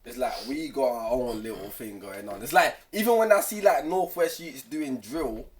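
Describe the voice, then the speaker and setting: A man's voice: mid-range voice, lively intonation, normal speed, distant mic. A man with a London accent, chatting casually as a guest on a podcast, laid-back and laughing often, using London street slang. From London, England. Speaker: guest rapper.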